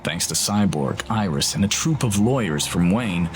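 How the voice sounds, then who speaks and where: A man's voice: deep voice, expressive, normal speed, a little hiss or hum. A man narrating a scripted, dramatic comic-book story. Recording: voice under loud music.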